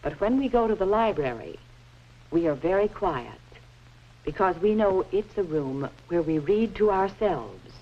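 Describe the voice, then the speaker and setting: A woman's voice: on the low side, expressive. A woman playing a patient 1950s schoolteacher in an educational film, phrasing things carefully and instructively, on a muffled old soundtrack. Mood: patient explaining. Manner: measured, didactic, carefully phrased. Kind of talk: scripted educational film acting.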